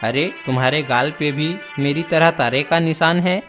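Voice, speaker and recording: A man's voice: fairly high pitch, moderate pace, over music, natural voice. A man playing a poor, humble old father in a cartoon moral story, speaking in a tender, apologetic way. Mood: tender, sorrowful.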